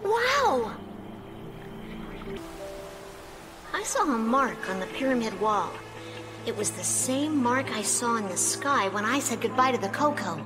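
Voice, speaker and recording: An earnest young hedgehog girl, the caring heroine of a video game. Her voice is high and swings expressively with every phrase. Music plays clearly behind her. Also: very animated, normal speed. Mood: curious. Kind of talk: video game cutscene acting.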